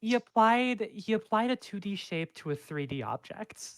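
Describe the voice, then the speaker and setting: A young adult woman in casual chat, tossing in playful, deliberately silly takes. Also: medium pitch, lively intonation, no room sound. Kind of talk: casual chat among players.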